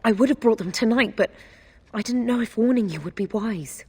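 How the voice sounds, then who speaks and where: A woman playing a guarded, shrewd noblewoman informant in a fantasy game, speaking in a deliberate, confiding way. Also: a little high, warm tone, husky. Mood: secretive, grave. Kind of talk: scripted fantasy game dialogue.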